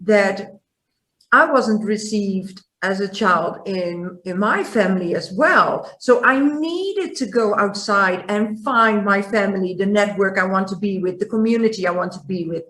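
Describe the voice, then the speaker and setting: A woman hosting an interview, reflecting slowly and haltingly as she thinks aloud. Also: mid-range voice, fairly bright tone. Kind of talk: reflective conversation. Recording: echoey room.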